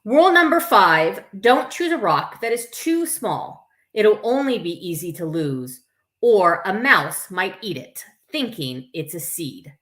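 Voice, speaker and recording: Lady with a bright clear-cut voice doing story time, reads expressively then chats off the cuff. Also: medium pitch, a little slow. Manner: expressive, informal, breaks off for asides to listeners. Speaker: camp staff story time host. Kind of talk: live picture-book read-aloud with chatty asides.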